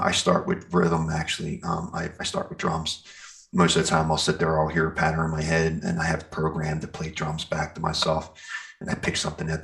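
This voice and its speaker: A man with an extremely low voice and plenty of creaky fry in it. He talks casually as a guest in an interview, explaining as he goes and thinking out loud in a loose, rambling flow. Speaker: interview guest, metal band singer and guitarist.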